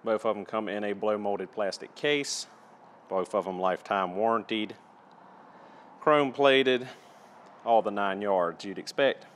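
A man's voice: on the low side, unhurried, long pauses, slight background noise. A man giving a casual, matter-of-fact and methodical product review as he presents tools.

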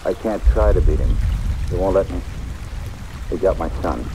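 A deep-voiced tough boxing guy. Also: normal speed, warm tone, a little hiss or hum, rough recording. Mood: dejected. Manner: terse, slangy. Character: low-voiced tough working-class boxing man. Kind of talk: 1940s-50s radio drama acting. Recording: old radio recording under heavy rain ambience.

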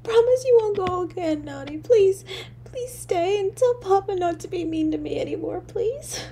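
A woman voicing a poor, lonely little girl in an audio drama, halting and breathy, with childlike stammering. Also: very high voice, expressive, dark tone, slight background noise. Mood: overwhelmed, tearful. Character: poor, lonely, freezing little match girl, timid and yearning. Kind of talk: solo audio drama monologue.

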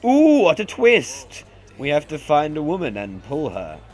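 A young man narrating his gameplay, sarcastic and sweary, with a stuffed-up nasal sound. His delivery rises and falls in a lively way as he riffs along, and faint game music sits behind him. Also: on the high side, a little slow. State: congested. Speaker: let's play commentator. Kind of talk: casual gameplay commentary.

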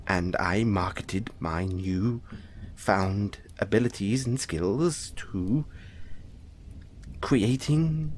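A man playing an anxious, apologetic dollmaker in an audio roleplay, stammering and halting as he speaks to the listener. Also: lowish voice, slightly rough, full character voice. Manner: stammering, halting, flustered, placating. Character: anxious, apologetic male dollmaker who dabbles in soul magic.

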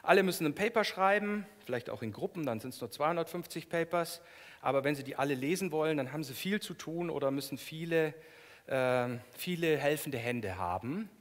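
A man's voice: fairly high pitch, expressive, fairly quick. Middle-aged man lecturing in a reverberant hall. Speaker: professor giving a keynote. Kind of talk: academic lecture, explanatory, semi-spontaneous. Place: lecture hall in front of an audience. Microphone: headset microphone.

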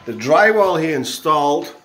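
A man reviewing a contractor's work in a handheld phone walkthrough of a half-tiled bathroom, speaking in a halting, critical way with many pauses. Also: on the high side, animated, fairly bright tone. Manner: slow, halting, frequent pauses and restarts; disgruntled, critical. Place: bathroom under renovation, indoors. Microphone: phone camera held in hand.

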